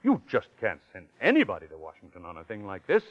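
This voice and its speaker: An actor playing a smooth con man posing as a professor, with ornate, courtly diction in old-time radio style. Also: lowish voice, wildly swooping pitch, fast talker. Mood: sly, suave. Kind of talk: old-time radio acting with first-person narration. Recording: muffled old radio recording, faint music.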